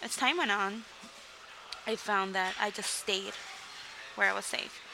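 Young woman from Mexico, interview guest, open and rambling, steady mid-range pitch, noisy recording. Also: unhurried, vocal fry. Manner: candid, rambling, frequent "like" and "um", laughs between sentences. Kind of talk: casual interview storytelling.

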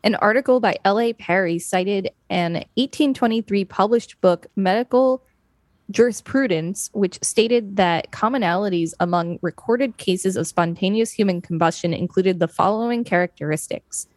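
A woman's voice: medium pitch, normal speed, a little mellow, no room sound. A woman co-hosting a podcast, chatting casually and reading an article aloud. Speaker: podcast co-host.

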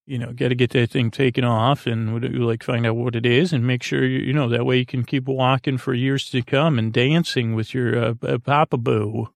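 A man's voice: mid-range voice, steady tone, normal speed, gravelly, few pauses, no room sound, clean studio sound. A man hosting a sleep podcast in a meandering, stammering monologue, often trailing off mid-thought. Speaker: podcast host.

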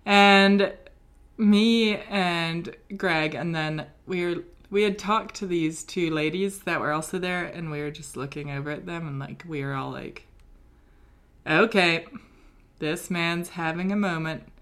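Slow, chatty British woman storyteller. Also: lowish voice, fairly bright tone. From Britain. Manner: chatty storyteller, many ums and likes. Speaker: podcast co-host. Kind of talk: casual chat.

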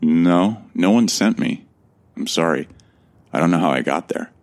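A man plays a lost amnesiac from Earth narrating a sci-fi audio drama. His voice is extremely deep with a heavy creak. He is measured and reflective, with a bewildered, earnest streak. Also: expressive, darkish tone.

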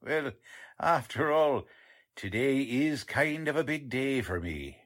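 A man's voice: mid-range voice, animated, slow, fairly bright tone. A man acting a cheerful, proud, unpolished police lieutenant with folksy bluster in a 1940s-style radio drama. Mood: eager, proud. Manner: folksy, ungrammatical, good-natured bluster.

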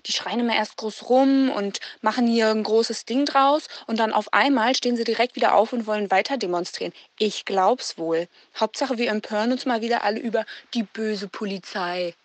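An actress playing a dismissive, indignant online commenter in an audio drama, speaking with scornful self-assurance. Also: female voice, a little high, moderate pace, few pauses, no room sound, natural voice. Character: dismissive, indignant woman commenting against climate protesters. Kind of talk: naturalistic audio drama monologue.

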